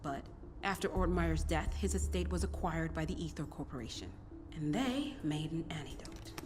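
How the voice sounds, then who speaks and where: A woman's voice: sits low, lively intonation, moderate pace. A woman playing a blunt, defiant rebel with a curt, sardonic delivery in a game cutscene. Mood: explaining. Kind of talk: cinematic video game acting. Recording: long reverb, music behind.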